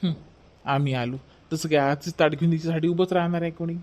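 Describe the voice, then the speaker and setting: Woman doing pushy young guy, brother-in-law in a family cartoon, much lower put-on voice, bit of background noise. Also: fairly even intonation, fast talker, a little mellow, lightly acted. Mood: casual, demanding. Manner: casual, demanding. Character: Kishor, casual, demanding young brother-in-law, a man. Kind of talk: homely family cartoon voice acting.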